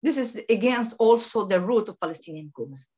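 Emphatic woman from Venezuela, halting delivery. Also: mid-range voice, lively intonation, a little mellow, slightly rough. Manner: halting, many restarts and repetitions, emphatic. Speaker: guest, political researcher. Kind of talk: impassioned interview talk. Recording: dull lo-fi sound.

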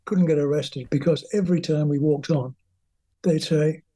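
Older English man, mellow and husky. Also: a little high, steady tone, normal speed. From England. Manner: rambling, chatty, acts out quoted voices. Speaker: guest musician. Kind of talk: anecdotal interview storytelling.

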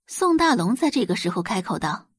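A woman reading scripted story narration as an expressive audiobook narrator. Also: mid-range voice, fairly quick, breathy edge, no room sound, studio quality.

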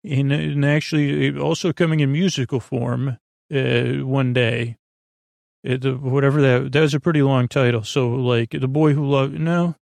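A man hosting a podcast with a meandering bedtime monologue, halting and digressive, trailing off and restarting his sentences in a creaky voice. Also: medium pitch, steady tone, darkish tone, no room sound. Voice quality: creaky, gravelly. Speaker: podcast host.

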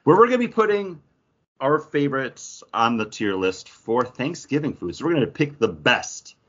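Man, podcast co-host, lively swinging intonation, medium pitch, casual chat, slightly dull lo-fi audio. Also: normal speed.

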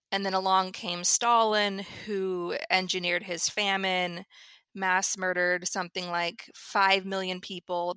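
American woman, kinda low voice but bright, dry close sound, earnest storytelling. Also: smooth, dry recording. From United States. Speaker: screenwriter guest. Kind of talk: interview answer.

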